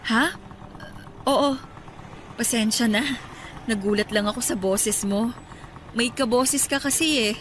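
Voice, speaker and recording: A soft-spoken grieving woman. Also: fairly high pitch, slow pace, pauses often, slight background noise. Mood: wistful. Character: grieving woman, gentle and wistful. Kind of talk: radio drama acting.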